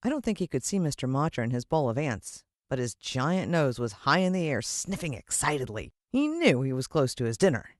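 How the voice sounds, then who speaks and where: Female American narrator for children's fiction: expressive, suspenseful storyteller, dry sound. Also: very deep voice, fairly quick, few pauses, no room sound. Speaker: audiobook narrator.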